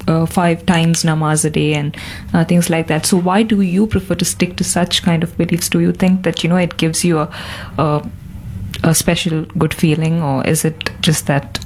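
A woman of twenty-two with a fairly low voice. She speaks conversationally in a group discussion, over light ambient noise. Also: flat delivery, moderate pace, a little mellow, low-quality recording. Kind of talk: conversational questioning.

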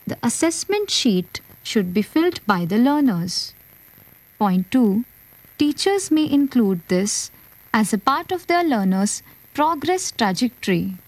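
A woman reading a textbook aloud, describing pictures and listing items one by one in a measured way. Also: fairly high pitch, no room sound, rough recording. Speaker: textbook reader. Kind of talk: slow read-aloud describing textbook pages.

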